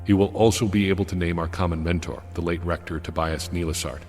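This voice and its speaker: Deep, reverberant, penitent holy man. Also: fairly even intonation, quick pace, darkish tone. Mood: solemn remorse. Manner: formal, archaic, penitent. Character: guilt-ridden devout man confessing in a final letter. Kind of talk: solemn audiodrama letter reading. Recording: long hall reverb over music.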